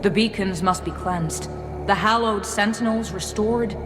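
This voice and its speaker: Casting: actress as devout, resolute fantasy warrior, mid-pitched, solemn, cavernous reverb. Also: female voice, unhurried, background noise, close to an everyday voice. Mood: reverent resolve. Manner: solemn, formal, ceremonial. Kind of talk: dark fantasy game character acting. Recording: long hall reverb with music behind.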